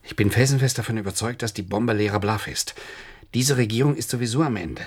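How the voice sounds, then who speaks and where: An actor playing a defiant political dissident and protective husband in a German radio play, earnest and intense. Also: male voice, fairly low pitch, fairly quick, slightly rough. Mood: urgent, defiant.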